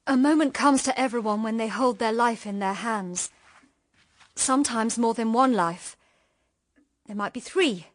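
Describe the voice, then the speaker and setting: An actress playing a resolute, self-sacrificing sister in a radio drama, speaking in a measured, earnest, grave way. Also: female voice, on the high side, dry recording, natural voice.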